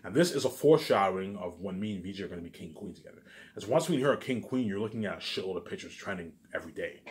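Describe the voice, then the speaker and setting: A man's voice: deep voice, lively intonation, rapid-fire. A man giving a rambling, informal monologue, repeating himself emphatically, swearing and restarting his phrases. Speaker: vlogger.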